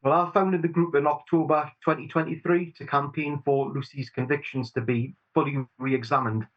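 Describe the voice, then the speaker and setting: A man's voice: a little high, steady tone, moderate pace, lo-fi sound. A man in his forties with a Geordie accent, speaking sincerely and steadily as a guest in an interview. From Newcastle upon Tyne, England. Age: 40s. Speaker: campaign group chair, guest. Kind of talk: earnest interview answers.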